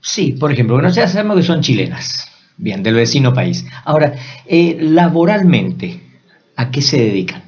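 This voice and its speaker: Lively man co-hosting a church interview. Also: fairly high pitch, normal speed. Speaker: co-host. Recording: dull lo-fi sound.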